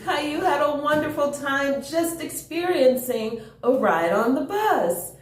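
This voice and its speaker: A woman reading a children's story aloud with enthusiastic, expressive delivery and chatty asides to her young listeners. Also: high voice, unhurried. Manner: expressive, enthusiastic, chuckles often. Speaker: storytime reader. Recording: roomy, echoing room.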